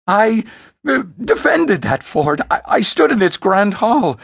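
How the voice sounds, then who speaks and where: A man voicing a wretched, petulant prince in a fantasy audiobook, pleading and defiant in a hoarse, cracking voice. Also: high voice, sing-song, slow, fairly bright tone, dry recording, clean studio sound, pitched above the performer's own voice, heavily acted. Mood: hysterical defiance. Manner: pleading, stammering, spitting outbursts. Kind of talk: dramatic fantasy audiobook character voice.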